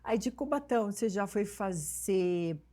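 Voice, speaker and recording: A woman from São presents a talk show in a medium-pitched voice. She speaks informally and loosely, drifting through asides. Her questions are chatty and use familiar terms of address. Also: lively intonation, unhurried, dark tone.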